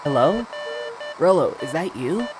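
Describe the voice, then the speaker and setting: A man's voice: on the high side, sing-song, unhurried, noisy background, voice pushed up. Man reading as an evasive, hesitant young boy. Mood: uneasy. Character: evasive, uneasy young boy in a storybook game.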